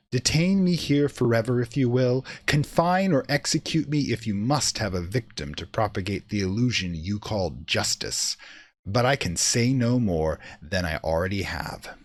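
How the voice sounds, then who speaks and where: A man playing a shaken, defensive witness giving formal, old-fashioned testimony in a dramatic radio play monologue. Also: fairly low pitch. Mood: defiant.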